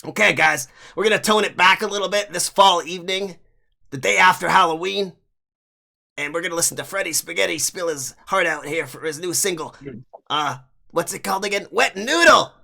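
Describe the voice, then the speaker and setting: An excitable late-night talk show host, played by a man who keeps tripping over his words while hyping the crowd. His voice sits high and bright with a gravelly fry. He sounds eager and a bit scattered. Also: brisk, exaggerated voice. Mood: upbeat. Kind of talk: improvised comedy skit.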